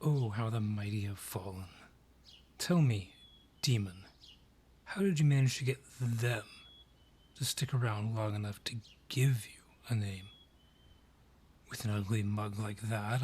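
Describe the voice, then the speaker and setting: A man's voice: lowish voice, measured pace, pauses often, darkish tone, breathy edge. An actor voicing a haughty male dryad with a grudge in an audio roleplay, sardonic and theatrical, drawing out each taunt. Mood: sardonic, contemptuous. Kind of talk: scripted audio roleplay acting.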